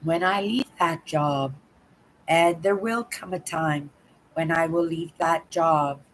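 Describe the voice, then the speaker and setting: Older canadian lady on a livestream, hoarse sore-throat voice, rambly, lots of "right?", kinda low for a woman. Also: slow pace, slight background noise, poor audio. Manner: rambling, chatty, keeps adding "right?". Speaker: livestream host. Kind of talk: casual live chat to viewers.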